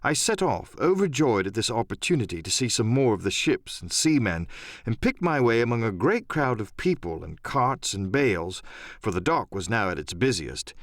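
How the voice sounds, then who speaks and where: A man's voice: lowish voice, very animated, normal speed, no room sound, clean studio sound. A man narrating an adventure audiobook in a dramatic first-person storytelling style.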